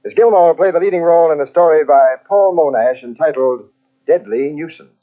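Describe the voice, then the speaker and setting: Old time radio host guy, slightly high voice, scripted, tinny narrow sound. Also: fairly quick. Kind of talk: 1940s radio hosting, scripted announcement. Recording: tinny vintage radio recording on cassette.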